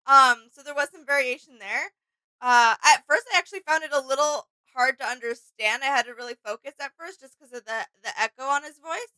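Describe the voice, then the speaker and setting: High, bright-voiced woman chatting. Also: fairly quick, low-quality recording. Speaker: reaction video co-host. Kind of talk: casual reaction chat.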